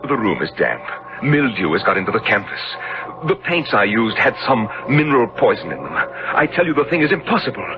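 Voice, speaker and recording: Wildly expressive, earnest Victorian painter. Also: male voice, fairly low pitch, a little fast, music in the background. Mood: horrified. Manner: earnest, dramatic. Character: earnest Victorian portrait painter, protective and excitable. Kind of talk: 1970s radio drama acting. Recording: dull, noisy 1970s radio recording.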